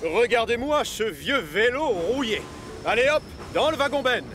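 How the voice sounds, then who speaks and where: A man's voice: extremely high pitch, big pitch swings, moderate pace, fairly bright tone, faint music behind, exaggerated voice. A man voicing a boastful, eager, strong locomotive in a children's cartoon, speaking with cocky emphasis. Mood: bossy. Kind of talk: children's cartoon dub acting.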